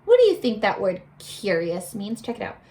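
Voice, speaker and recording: A woman teacher reading a picture book aloud to young children, expressively, pausing to put questions to them. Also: mid-range voice. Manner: animated, addressing children with questions and pauses.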